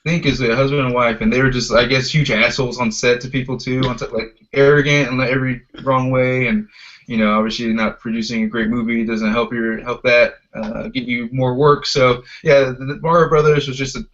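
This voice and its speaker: A man in his thirties with a mid-pitched voice hosts a casual podcast chat. He rambles along conversationally and swears freely. The audio is muffled and lo-fi. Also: fairly even intonation, a little fast, a bit bright. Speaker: podcast host. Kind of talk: casual chat about video game movies.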